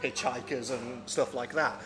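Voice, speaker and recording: A man's voice: mid-range voice, fairly even intonation, moderate pace. A man co-hosting a podcast, explaining things in casual, slightly hesitant chat. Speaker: podcast co-host. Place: pub in Brighton. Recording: busy pub crowd behind voice.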